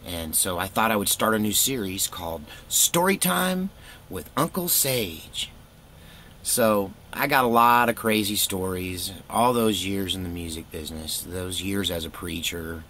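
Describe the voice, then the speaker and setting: A middle-aged man with a fairly low voice tells stories casually, wandering from point to point. He is laid-back and wry, quick to poke fun at himself. Faint noise sits behind his voice. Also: sharp tone. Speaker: storytelling vlogger, former youth pastor. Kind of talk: casual rambling personal storytelling.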